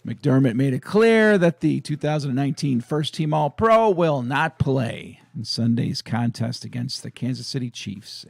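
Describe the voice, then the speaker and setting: A hoarse man hosting a sports fan channel, chatting casually and excitedly about his team's week. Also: medium pitch, dry recording. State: hoarse, raspy voice. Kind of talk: casual fan vlog chat.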